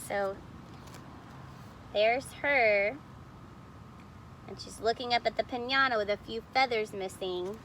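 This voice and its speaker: A woman's voice: a little high, slow pace, pauses often, a bit bright, slight background noise, poor audio. A woman reading a picture book aloud expressively, explaining details to young listeners in casual asides. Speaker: storybook reader.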